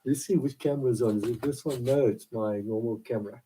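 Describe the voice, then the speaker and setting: A South African man, Afrikaans his first language, giving a rambling, halting devotional talk into a laptop camera in his small home office. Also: mid-range voice, warm tone, slight background noise. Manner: rambling, halting with many fillers.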